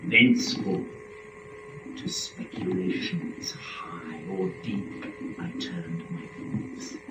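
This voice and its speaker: A man acts out a flattering serpent tempter in epic verse, savouring phrases at an extremely slow pace. The sound is echoey and distant, with music clearly behind the declamation. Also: mid-range voice. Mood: grand, wondering. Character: flattering, sly serpent tempter from Paradise Lost. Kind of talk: dramatic verse reading. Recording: dull, echoey, distant stage recording.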